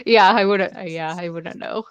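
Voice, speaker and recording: A woman's voice: fairly low pitch, moderate pace, clear, resonant tone, no echo. An American woman talking casually and a little tentatively in a book discussion. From United States West Coast. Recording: dull, dry livestream call audio.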